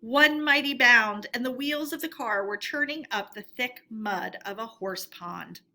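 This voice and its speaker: A woman storyteller reading a storybook aloud in an expressive narrating style. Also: fairly high pitch, unhurried.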